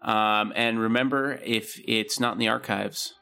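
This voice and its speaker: Man, podcast co-host, creaky voice, bright edge, casual loose banter. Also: fairly low pitch, steady tone, unhurried, soft background music.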